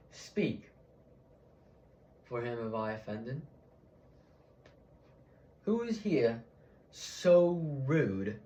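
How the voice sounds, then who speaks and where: A man playing a solemn Roman senator in a theatrical Shakespearean monologue, declaiming to a crowd with dramatic pauses and swells. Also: mid-range voice, darkish tone. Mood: challenging. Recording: echoey room.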